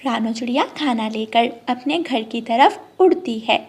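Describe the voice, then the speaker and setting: A woman's voice: fairly high pitch, measured pace, darkish tone, husky. A woman storyteller reading scripted narration for a children's cartoon moral tale.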